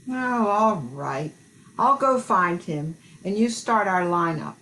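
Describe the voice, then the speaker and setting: A woman's voice: mid-range voice, animated, slow, a bit bright, poor audio. A woman playing an obliging, organizing wife of Santa in an amateur radio play. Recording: faint background noise, clicks.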